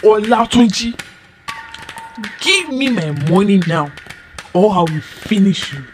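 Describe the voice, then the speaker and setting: A woman's voice: medium pitch, animated, takes it slowly, slight background noise. A woman voicing a desperate male servant, pleading and stammering. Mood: threatening. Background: music.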